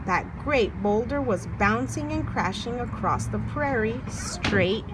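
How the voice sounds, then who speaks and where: A woman reading a children's folktale aloud in an expressive storytelling way, putting questions to the listener. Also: a little high, slow, heavy background noise. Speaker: storybook reader, a mother.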